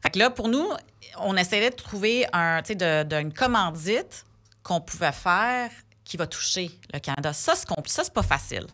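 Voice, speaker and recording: Femme anglophone, français québécois, voix un peu grave, bavarde, hésitations fréquentes. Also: female voice, on the low side, animated, normal speed, fairly bright tone. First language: English. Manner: chatty, many fillers and restarts. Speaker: sponsor representative guest. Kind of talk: casual podcast conversation.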